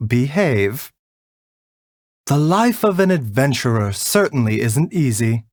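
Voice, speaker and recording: Slow, measured, refined gentle doctor. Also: male voice, medium pitch, no echo, studio quality. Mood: composed. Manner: measured, soft-spoken, polite, lightly teasing. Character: gentle, refined male doctor, calm and caring, mildly wry. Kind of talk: video game character voice lines.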